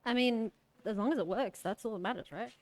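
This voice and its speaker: A hyper, bubbly woman with a high-pitched voice, chatting casually while streaming a game. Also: brisk, darkish tone, no room sound. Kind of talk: casual gaming stream chat.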